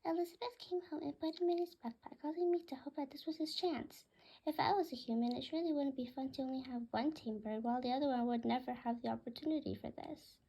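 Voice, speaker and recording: High-pitched, creaky woman reading a story. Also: fairly even intonation, fast talker, warm tone, low-quality recording. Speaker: author reading own book aloud. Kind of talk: scripted storybook narration in first person.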